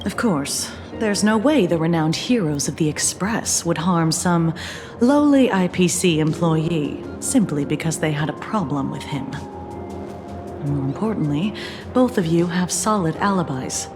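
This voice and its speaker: A cool, low-voiced investigator. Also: female voice, lively intonation. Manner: polite, measured, warning. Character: composed woman investigator, cool and formal. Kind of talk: video game dialogue. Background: game music.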